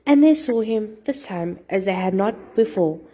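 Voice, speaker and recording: A woman telling rhyming fairy tales to children as an expressive storyteller and host. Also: mid-range voice, normal speed.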